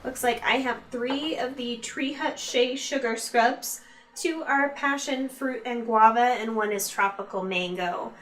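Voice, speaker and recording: A woman's voice: fairly high pitch, measured pace, far from the mic, noisy background. A woman chatting informally to her viewers as a beauty vlogger, reviewing products in a casual, direct way. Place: room at home used for filming.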